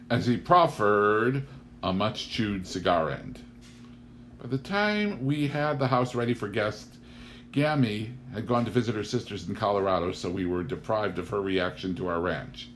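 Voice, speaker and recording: A man with a fairly low voice reads a humorous memoir aloud. The delivery is scripted audiobook narration, and the recording carries noticeable noise. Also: animated, unhurried. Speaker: audiobook reader.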